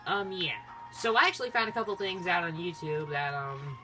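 Casual let's-play host, mid-pitched androgynous voice, rambling and self-interrupting, game music underneath. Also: moderate pace. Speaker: gaming video host. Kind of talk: casual playthrough commentary. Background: video game music.

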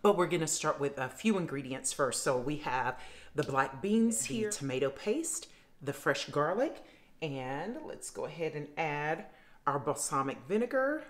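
Woman, middle-aged, low pitch, chatty home-kitchen cooking host. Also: lively intonation, unhurried. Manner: chatty, addresses viewers as fam. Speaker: cooking vlogger. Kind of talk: casual cooking demonstration. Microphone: camera facing her at counter.

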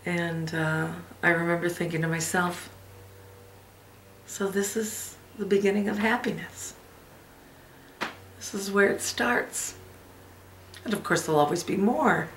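A woman playing a wistful older mother in a dramatic monologue, hushed and halting as she recalls lost happiness. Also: on the low side, slight background noise, lightly acted. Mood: wistful, tender. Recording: echoey room.

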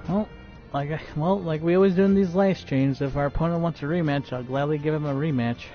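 A man's voice: a little high, a little fast, soft background music. A man live streaming while he plays a game, talking casually and stuttering often with many fillers and restarts. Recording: dull lo-fi stream audio.

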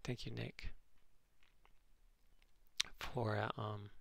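A man with a nasal voice, hosting his channel and replying to comments in a halting, dryly sarcastic way, full of ums and restarts. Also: fairly low pitch, level tone, gravelly, fairly bright tone, dry recording. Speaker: channel host responding to comments. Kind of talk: casual commentary reading comments aloud.

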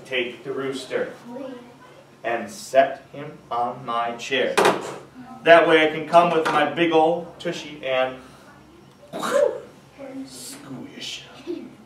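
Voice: medium pitch, takes it slowly, pauses often, background noise, voice pushed down, close to an everyday voice. A storyteller putting on a grumpy clenched-teeth old miser. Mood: furious. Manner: speaks through clenched teeth. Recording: echoey room from far off with a crowd.

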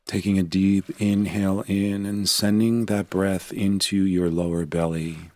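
A man narrating a guided meditation in a gentle, unhurried, soothing manner. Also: sits low, flat delivery, dry recording.

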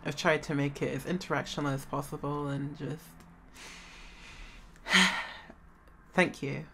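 A woman's voice: low voice, fairly even intonation, unhurried, creaky, fairly bright tone. Subdued, hesitant woman, drained after crying. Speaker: roleplay audio creator. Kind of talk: casual outro to listeners. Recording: roomy, distant mic, faint noise.

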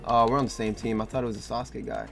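A young man with a hoarse, worn voice giving excitable, laughing gameplay commentary full of trash talk, with faint game music behind him. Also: mid-range voice, fast talker. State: hoarse, losing his voice. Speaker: gaming YouTuber.

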